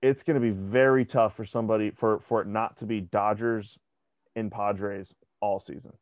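Brief: young male podcast co-host, lowish voice, casual sports talk, dry dull recording. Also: steady tone, moderate pace, dry recording. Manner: conversational, repeats words when restarting.

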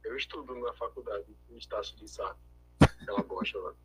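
A man's voice: fairly low pitch, fairly even intonation, husky, low-quality recording. A young man, a law student, chatting as a guest on a call and cracking self-deprecating jokes. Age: young adult. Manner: joking, self-deprecating, quick comebacks. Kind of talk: casual interview over a call. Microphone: remote call.

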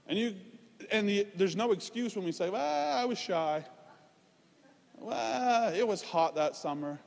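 A man preaching a conversational, informal sermon, emphatic at points and dropping into quieter, self-deprecating asides. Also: sits high, creaky. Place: large reverberant room, preaching live. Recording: reverberant hall sound.

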